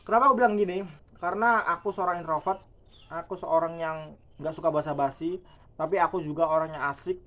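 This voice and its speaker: A man's voice: fairly high pitch, a little fast. A man in his late twenties talking casually and reflectively to his viewers in a vlog. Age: 20s. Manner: chatty, reflective, addresses viewers as guys. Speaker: YouTuber. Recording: dull lo-fi sound.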